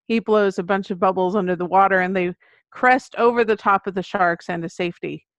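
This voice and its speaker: Middle-aged American female, author giving an interview, mid-range pitch, very dry sound. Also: fairly bright tone, dry recording. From Texas, United States. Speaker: children's book author, podcast guest. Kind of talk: interview conversation, explaining her writing.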